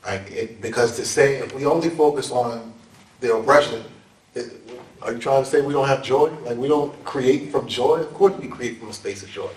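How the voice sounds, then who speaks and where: A man's voice: medium pitch, normal speed, slight background noise. A man in an informal panel talk, emphatic and plain-spoken, with preacherly asides. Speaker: dance scholar and panel speaker. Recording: echoey hall, distant mic.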